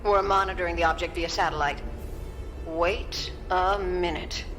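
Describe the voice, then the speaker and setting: A woman's voice: lowish voice, steady tone, a little slow, music in the background, close to an everyday voice. Actress as composed, matter-of-fact female scientist. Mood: focused. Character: composed, professional female scientist advising the president. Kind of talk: radio drama acting.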